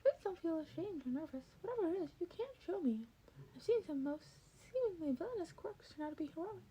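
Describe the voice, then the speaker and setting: A woman puts on a small male animal mentor from a storybook, keen and cheery. The voice is very high, formal and precise, lilting with encouragement over an audibly noisy home recording. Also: expressive, fast talker, mellow, muffled recording. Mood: cheerful, reassuring. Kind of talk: fan fiction podfic character voice.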